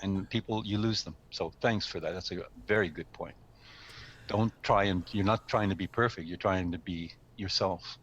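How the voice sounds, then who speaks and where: A man's voice: low voice, moderate pace, a bit bright, dull audio. A man giving conversational advice on public speaking as a guest coach, encouraging and repeating his points for emphasis.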